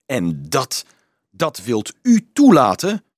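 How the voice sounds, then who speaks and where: A man's voice: mid-range voice, wildly swooping pitch, no echo, studio quality, exaggerated voice. A man voicing an indignant, disbelieving teenage boy who questions insistently in a dramatised audiobook reading. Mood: shocked, indignant. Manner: urgent, insistent questioning, halting with shock.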